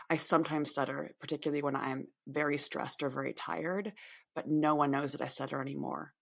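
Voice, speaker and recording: A woman in her thirties from upstate New York, a podcast guest. Her voice sits low with a gravelly edge, and she talks in a candid, reflective way, often circling back and restarting her words. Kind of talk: podcast interview.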